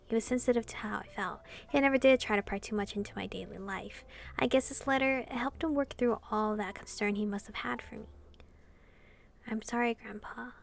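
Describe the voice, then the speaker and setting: Woman, gaming streamer, expressive read-aloud, higher pitch, creaky, faint music. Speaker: gaming streamer reading a visual novel aloud.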